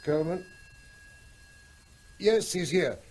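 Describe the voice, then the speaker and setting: A bereaved middle-aged father in a radio play. His voice sits slightly high for a man. He speaks politely and hesitantly. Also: animated, slow, noisy background. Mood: subdued, polite. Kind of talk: radio drama acting.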